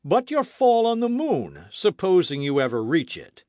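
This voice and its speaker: A man's voice: sits high, wildly swooping pitch, moderate pace, a little mellow, no echo, pitched above the performer's own voice. A stubborn skeptic, voiced by a man reading aloud presses his doubts in a firm, slightly raised voice. He sounds insistent and unconvinced. The recording is dry. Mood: skeptical. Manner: insistent, objecting.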